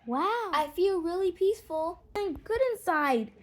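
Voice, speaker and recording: A woman voicing an excitable, grateful young schoolgirl in a children's storybook, with eager exclamations. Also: very high voice, measured pace, pitched above the performer's own voice. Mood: excited.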